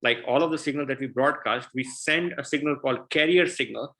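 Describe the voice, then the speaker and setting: Bright-voiced Indian-accented guest scientist. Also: male voice, medium pitch, brisk, slight background noise. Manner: earnest, restarts sentences often. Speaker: guest researcher. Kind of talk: explaining science in a Q&A.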